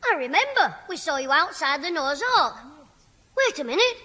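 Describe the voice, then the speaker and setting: Cheeky, tactless schoolboy, bright high voice, old radio sitcom style. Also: male voice, sing-song, normal speed, exaggerated voice. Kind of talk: 1970 radio sitcom acting. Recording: old reverberant radio broadcast recording.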